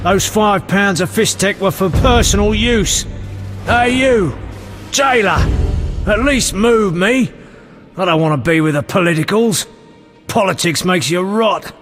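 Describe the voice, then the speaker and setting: An actor playing a whining, pleading petty thief in a video game, shouting indignantly in a reverberant space. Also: male voice, high voice, measured pace, soft background music. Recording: reverberant hall sound.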